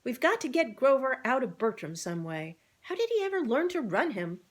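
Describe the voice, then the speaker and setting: A woman's voice: high voice, sing-song, normal speed, voice pushed up. A woman voicing a brave, sensible female cow in a children's story, firm and plain-spoken. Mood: worried, reasoning.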